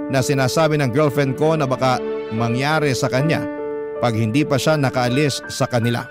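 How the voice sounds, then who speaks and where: A man's voice: on the high side, natural voice. An actor with a Filipino accent playing an earnest, conscientious young boyfriend narrating his story in the first person for a radio drama. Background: loud music bed.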